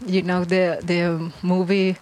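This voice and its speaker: A Colombian woman speaking in halting, non-native English with a Spanish accent. She is a guest DJ in a casual interview who searches for words, trails off softly and laughs often. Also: on the low side, flat delivery.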